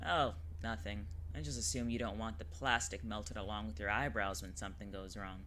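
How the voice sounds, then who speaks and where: A brash, jaded witch in an audio drama. The voice is bright and sharp, over some background ambience. She talks with wry, breezy, theatrical sarcasm. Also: extremely low pitch, fairly even intonation, fairly quick, slight background noise.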